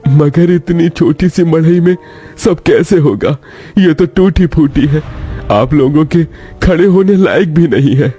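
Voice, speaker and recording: Guy doing poor humble dad in a cartoon, pleading and emotional, mellow, bit high, music behind. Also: measured pace, husky. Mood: humble worry. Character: humble emotional poor old father of a bride. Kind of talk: Hindi cartoon story dialogue.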